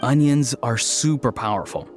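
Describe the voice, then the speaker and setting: An actor playing an earnest, kindly young man in a video game, telling whimsical tales in a gentle, matter-of-fact way. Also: medium pitch, animated, normal speed, faint music behind, natural voice.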